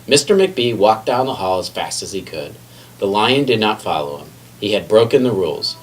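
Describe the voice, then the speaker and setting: A man's voice: lowish voice, expressive, normal speed. A man reading a children's picture book aloud expressively, sometimes stumbling and correcting himself. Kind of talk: children's read-aloud storytelling. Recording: distant mic with faint background noise.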